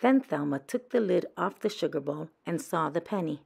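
Lady reading a kids picture book out loud, lowish creaky voice. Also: lively intonation, normal speed. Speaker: storyteller reading aloud to children. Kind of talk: children's picture book read-aloud.

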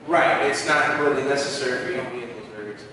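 A man's voice: mid-range voice, quick pace. A man hosting a podcast, asking questions in a laid-back way and swearing casually. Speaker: podcast host. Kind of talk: casual interview chat. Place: podcast studio with graffiti wall. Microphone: headset mic seen, far camera. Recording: echoey room, distant, noisy.

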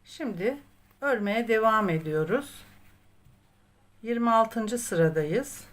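A woman's voice: a little high, lively intonation, slow. A woman narrating a step-by-step craft tutorial in a patient, methodical way, as the host. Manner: patient, methodical instruction, counting aloud.

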